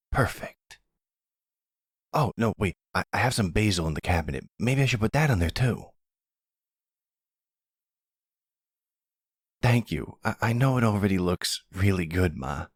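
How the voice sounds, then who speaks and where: A man's voice: on the low side, moderate pace, dry recording, studio quality, close to an everyday voice. A man playing a young son in a roleplay audio drama, casual, teasing and blunt, protective of his family. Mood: earnest, amused. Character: cheeky, protective young son, an aspiring chef.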